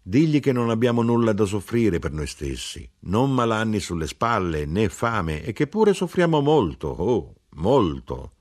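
A man's voice: on the low side, very animated, unhurried, hardly any pauses, a little mellow, voice pushed up. A man voicing a small, sorrowful old man who speaks solemnly, as if preaching. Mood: mournful solemn.

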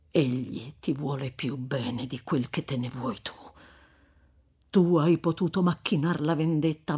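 A woman voicing a stern old male friar who thunders rebukes and then drops to hushed, slow gravity. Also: fairly low pitch, husky.

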